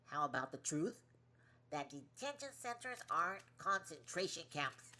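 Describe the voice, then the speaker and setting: An actress playing a curt, indignant government press secretary who scolds and talks down with emphasis in an audio play. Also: female voice, deep voice, big pitch swings, normal speed, bright, forceful tone, heavily acted. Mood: scolding.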